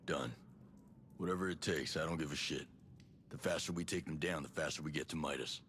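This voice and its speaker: An actor playing a gruff, resolute special forces soldier in a video game, blunt and matter-of-fact. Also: male voice, rumbling low, brisk, a bit bright, a little hiss or hum. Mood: determined. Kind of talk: cinematic game acting.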